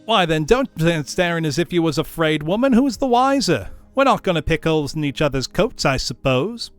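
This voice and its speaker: A man's voice: on the high side, sing-song, normal speed, faint music behind, voice pushed up. A man voicing a brazen, scornful charwoman in a storybook reading, coarse, gloating and emphatic. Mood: scornful, goading. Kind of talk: storybook character voice.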